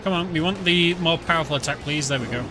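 A man's voice: fairly high pitch, fast. A British man giving casual let's-play commentary as a streamer, chatty and explaining the game with plenty of fillers. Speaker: streamer playing a video game. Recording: game music clearly audible underneath.

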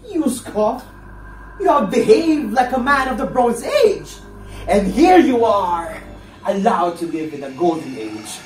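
A man's voice: high-pitched, sing-song, a little slow, faint music behind. A man playing a cunning, bitter forger in a stage play, declaiming in a mocking way. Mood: scornful. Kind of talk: theatrical one-act stage play acting. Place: furnished indoor stage set, distant camera. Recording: echoey room, distant mic, background noise.

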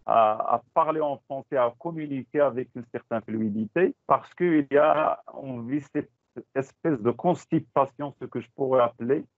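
Moroccan man, Tachelhit native, teacher trainer on a dry, tinny video call; halting, repeats himself. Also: mid-range voice, no echo. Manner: halting, repeats words, switches into Moroccan Arabic. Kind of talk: online teaching talk. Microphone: computer mic on a video call. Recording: dry, phone-like call audio.

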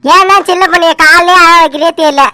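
A woman's voice: very high voice, fairly even intonation, fast talker, bright, forceful tone, slight background noise. A woman voicing a bossy, protective old grandmother in a family story, nagging and giving orders. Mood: scolding. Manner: nagging, commanding. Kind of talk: dramatised family story dialogue.

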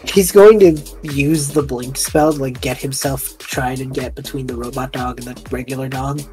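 A man narrating his character's actions as a tabletop game player, informal, halting and animated. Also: medium pitch, faint music behind. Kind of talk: casual improvised play narration.

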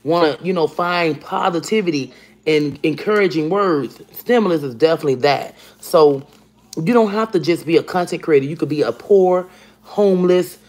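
Woman with a deep voice, forties, blunt profane livestream chat, phone held close in a home kitchen. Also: moderate pace. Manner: blunt, profane, animated, talks to viewers by name. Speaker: livestream host. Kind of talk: casual livestream chat reading comments. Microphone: phone camera at arm's length.

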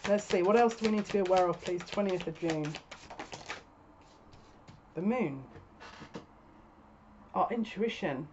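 A woman's voice: fairly low pitch, unhurried, long pauses, background noise. Woman giving a tarot reading, dull audio. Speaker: psychic tarot reader. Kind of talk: casual spoken tarot reading to camera. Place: small furnished room at home, indoors. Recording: dull lo-fi webcam sound.

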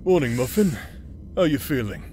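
A man's voice: medium pitch, big pitch swings, moderate pace, mellow, slight background noise, close to an everyday voice. A man playing a cocky but caring rogue in an audio roleplay, talking in a casual, teasing, easygoing way. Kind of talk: scripted audio roleplay acting.

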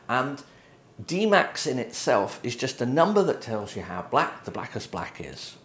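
A man's voice: medium pitch, echoey room. A middle-aged man from England with an English accent, explaining photo printing casually to camera from his home office. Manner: conversational, gestures at prints while explaining. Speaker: photography educator. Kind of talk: unscripted explanatory talk to camera. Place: home office with bookshelves, indoors.

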